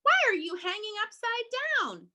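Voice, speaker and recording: A bossy storybook bat, put on by a woman, matter-of-fact and emphatic. The voice is loud and high, with very lively swings of pitch as it lays down the facts. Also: takes it slowly, heavily acted. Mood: brash, puzzled.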